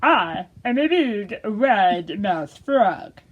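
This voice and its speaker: Woman voicing a brash, loud wide-mouthed frog, drawn-out words. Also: mid-range voice, sing-song, dull audio. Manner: loud, drawn-out, shouting questions. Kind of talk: children's storytime puppet voice.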